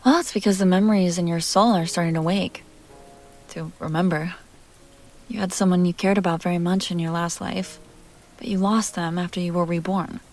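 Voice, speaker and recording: A woman's voice: fairly low pitch, fairly even intonation, normal speed, darkish tone, faint music behind, close to an everyday voice. A woman playing a self-assured, playful vampire heiress in an intimate roleplay audio drama, talking directly to the listener. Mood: pensive.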